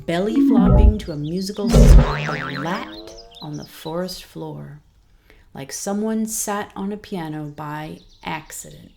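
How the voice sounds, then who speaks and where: A woman reading a scripted children's story as narrator, in an expressive, deliberate storytelling manner. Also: lowish voice, vocal fry, over music.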